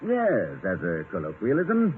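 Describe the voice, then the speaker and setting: Flustered, wordy professor, tinny radio. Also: male voice, on the low side, wildly swooping pitch, unhurried. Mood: agreeable. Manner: wordy, stammering, exasperated politeness. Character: erudite, flustered, wry college professor husband. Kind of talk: 1950s radio comedy acting. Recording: tinny, noisy 1950 radio recording.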